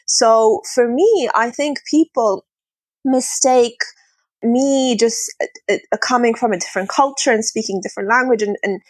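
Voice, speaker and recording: A woman whose first language is Arabic, speaking earnestly as an interview guest, sometimes pausing to search for words. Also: on the high side, no room sound. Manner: earnest, searching for words, laughs between thoughts. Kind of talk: conversational interview.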